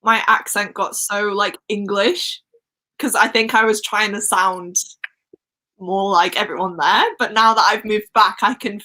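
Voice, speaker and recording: A young woman from Wales with a Welsh accent, Welsh her first language, chatting excitedly on a live stream. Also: mid-range voice, a little slow. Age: young adult. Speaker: host. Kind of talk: casual live-stream chat.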